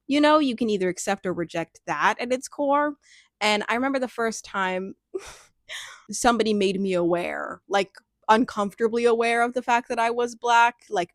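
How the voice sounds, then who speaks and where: A woman's voice: mid-range voice, normal speed, no echo. Candid young woman, dry close sound. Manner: candid, thoughtful, many "like" fillers with soft laughs. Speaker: personal storyteller. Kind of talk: reflective personal monologue.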